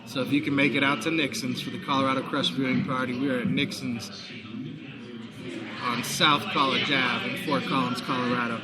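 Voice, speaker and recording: Hoarse sports talk guy broadcasting live from a packed bar, lots of crowd noise, chatty. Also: mid-range voice, flat delivery, fairly quick. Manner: chatty, promotional, frequent fillers. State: hoarse, recovering lost voice. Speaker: sports talk show host. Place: back room of a busy sports bar. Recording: noisy bar crowd behind.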